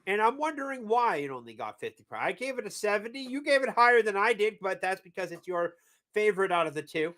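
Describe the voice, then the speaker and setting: A man from New England with a high, bright voice, co-hosting a relaxed movie review chat. He rambles, trips over his words and restarts his sentences often. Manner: rambling, halting with fillers and restarts. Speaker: podcast co-host.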